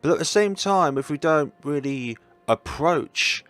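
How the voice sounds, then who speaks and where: Expressive man narrating a visual novel. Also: fairly high pitch, measured pace. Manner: expressive reading with reflective asides. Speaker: gaming video host.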